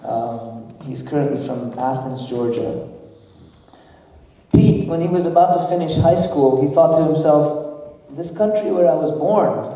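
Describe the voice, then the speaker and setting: Band leader, forties, slight Nepali accent; easygoing, halting stage chat, distant and roomy. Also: male voice, a little high. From grew up in Nepal. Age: 40s. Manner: relaxed, halting, many fillers. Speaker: band leader introducing the group. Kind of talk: casual stage talk to a congregation. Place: large church hall. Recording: echoey hall from far off.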